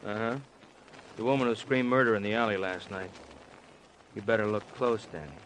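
Male, playing plainspoken grim cop, low voice, blunt fragments, vintage radio with light noise. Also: fairly quick, a bit bright, natural voice. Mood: grim, subdued. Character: somber male policeman, plainspoken and grimly matter-of-fact. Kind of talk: 1950s radio crime drama acting. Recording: faintly noisy old radio recording.

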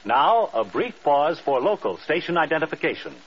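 An American man reading a scripted old-time radio announcement in a polished, measured, emphatic way. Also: fairly high pitch, big pitch swings, husky. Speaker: radio network promo announcer. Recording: muffled, noisy old radio broadcast.

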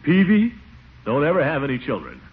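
An actor playing a blustery, exasperated middle-aged uncle in a 1940s radio comedy, pompous and grumbling. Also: male voice, fairly high pitch, huge pitch swings, fairly quick. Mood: glum, grumbling. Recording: noisy, tinny old radio recording.

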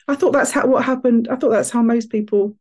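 A woman's voice: a little high, fairly even intonation, a little fast, dark tone, breathy edge. A woman co-hosting a podcast, chatting in an easygoing way. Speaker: podcast co-host. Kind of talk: casual conversation. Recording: faint music underneath. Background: faint music.

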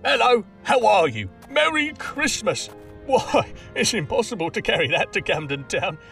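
A man's voice: high-pitched, big pitch swings, moderate pace, vocal fry, fairly bright tone, recorded from a distance, soft background music, exaggerated voice. A man playing an elated, breathless old miser turned generous in an audio drama, exclaiming with exuberance. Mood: gleeful warmth.

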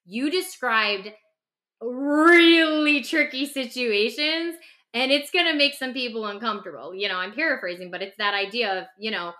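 A woman's voice: on the high side, normal speed, bright, forceful tone. A woman speaking as a podcast host and clinician in candid conversation, passionate and emphatic, repeating phrases for stress.

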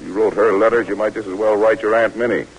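An actor playing a brisk, stern but caring American army lieutenant in an old radio drama, blunt and commanding yet coaxing, on a hissy old recording. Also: male voice, on the low side, fairly even intonation, natural voice, poor audio.

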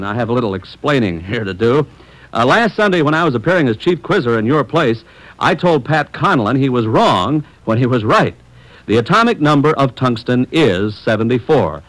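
Male radio announcer, highly animated intonation, slightly high voice, folksy emphatic salesman delivery, classic radio style. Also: low-quality recording. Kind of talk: old-time radio advertising pitch.

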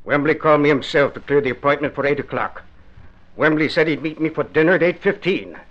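An actor playing a grave, matter-of-fact doctor in a radio drama, firm and sober. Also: male voice, on the high side, a little fast, close to an everyday voice. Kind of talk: 1950s radio drama acting. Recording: dull old radio recording.

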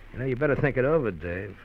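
An actor playing a sly, menacing gangster in an old radio crime drama, taunting with false friendliness. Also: male voice, mid-range voice. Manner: taunting, falsely friendly, chuckling between threats. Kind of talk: 1940s-50s radio crime drama acting. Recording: muffled, noisy old radio recording.

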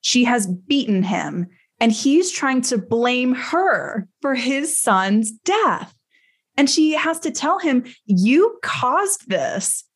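A woman's voice: a little high, very animated, slow, husky. A woman podcast panelist discussing a TV show in an emphatic, opinionated way.

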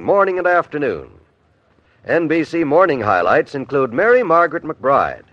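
Upbeat male network announcer, 1950s radio style, lively intonation, dry and muffled sound. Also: mid-range voice, dry recording. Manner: polished, upbeat salesmanship. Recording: muffled old radio recording.

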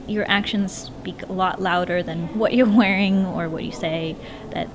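A woman's voice: fairly low pitch, steady tone, a little slow. A woman giving encouraging advice in a conference interview. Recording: noisy conference ambience.

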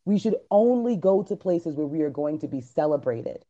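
A man co-hosting a casual podcast chat, talking in an emphatic, animated, preachy way. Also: fairly high pitch, normal speed, muffled recording. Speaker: podcast co-host. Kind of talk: casual group chat on a live stream.

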